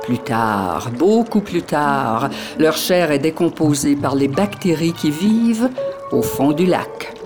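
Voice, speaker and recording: A narrator reading a poetic script in a slow, measured, grave way. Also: medium pitch, animated. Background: music.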